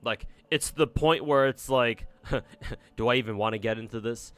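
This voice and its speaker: A man's voice: mid-range voice, normal speed, a bit bright, clean tone. A young man with an American accent, chatting casually on a livestream while gaming, rambling and swearing with many restarts. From United States. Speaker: streamer.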